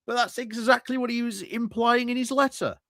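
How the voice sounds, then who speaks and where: A man's voice: very high-pitched, steady tone, fairly quick, fairly bright tone, no echo, studio quality. A man doing live reaction commentary over council footage, sarcastic and laughing at what he hears.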